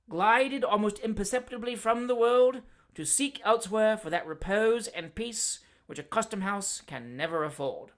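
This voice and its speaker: A pompous, blustering barrister, put on by a man reading aloud. He orates in a grand, booming manner and pitches his voice high. The delivery is theatrical throughout. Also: lightly acted.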